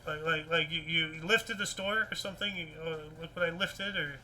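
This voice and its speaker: A man hosting a casual news show, reading articles and chatting in a rambling, repetitive, animated way. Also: fairly high pitch, normal speed, soft background music, poor audio. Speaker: host of a weird-news talk show.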